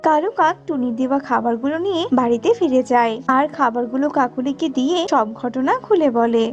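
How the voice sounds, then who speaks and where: Female cartoon narrator, scripted storytelling, very high pitch. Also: a little fast, darkish tone, dull audio, faint music behind.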